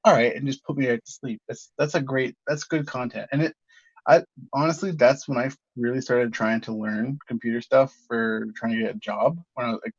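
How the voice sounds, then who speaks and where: A man's voice: medium pitch, husky, dry recording. Podcast host, man, chatty and upbeat, dry dull lo-fi sound. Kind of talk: casual chat.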